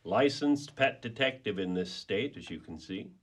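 A man's voice: lowish voice, expressive, moderate pace, breathy edge. A man playing a brawny, easygoing pet detective in a radio play, a folksy and rambling talker. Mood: easygoing, chatty. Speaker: actor in a community radio play.

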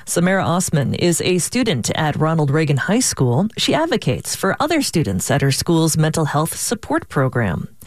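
A woman radio news presenter reading a scripted introduction in a measured, formal way. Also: low voice, no room sound, clean studio sound.

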